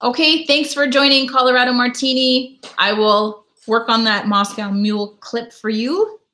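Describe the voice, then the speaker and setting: A woman's voice: on the high side, fairly even intonation, moderate pace, bright, forceful tone. Chatty woman live streaming from home. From Canada. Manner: chatty, reads viewer comments aloud and answers them. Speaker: YouTube host. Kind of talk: casual live stream chat.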